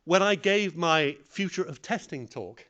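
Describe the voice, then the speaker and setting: Man, slightly high voice, lively intonation, lecturing, self-deprecating. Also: measured pace, muffled recording. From English-speaking country. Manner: animated, self-deprecating, plainly phrased. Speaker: conference speaker. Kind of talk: lecture on public speaking.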